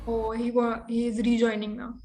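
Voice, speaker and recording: Indian woman with an even, high voice. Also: normal speed. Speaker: meeting host. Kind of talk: casual online meeting talk. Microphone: video call. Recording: noisy video call audio.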